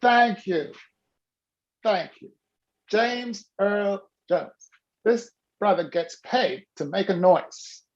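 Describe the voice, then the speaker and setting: A man coaching a participant on a video call, animated and teasing as he prods them by name. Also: sits high, takes it slowly, pauses often, dull audio. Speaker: business coach.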